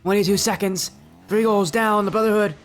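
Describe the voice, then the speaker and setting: A young man doing solo play-by-play commentary for an esports match, sounding a little stuffy and yelling in high bursts at big plays. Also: steady tone, a little fast, faint music behind. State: slightly stuffy from allergies. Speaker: esports caster.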